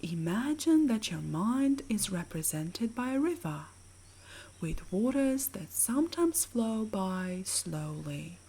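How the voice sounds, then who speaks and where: A woman with a soft, breathy voice narrating a scripted guided sleep meditation in a soothing, measured, gently instructing way. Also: mid-range voice, big pitch swings.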